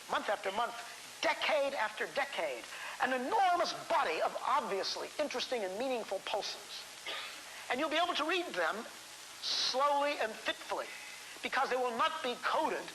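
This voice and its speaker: A man speaking as a scientist in unscripted, lecture-like talk, halting and deliberate, repeating phrases for emphasis. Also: extremely high pitch. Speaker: scientist interviewee. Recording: hissy vintage 1970s film soundtrack.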